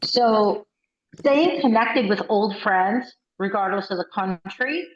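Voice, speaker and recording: Woman, 60s, chatty and animated, mid-pitched, roomy slightly dull call audio. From United States. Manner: chatty, animated storyteller, quick bursts. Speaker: workshop participant. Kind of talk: casual video call chat. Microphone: video call.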